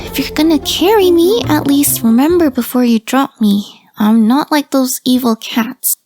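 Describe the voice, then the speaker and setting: A woman's voice: high-pitched, moderate pace, darkish tone, faint music behind, close to an everyday voice. A woman voicing a small, grumpy, nervous female chihuahua in a visual novel, snappy and sardonic. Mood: sullen, sardonic. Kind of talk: character dialogue in a visual novel.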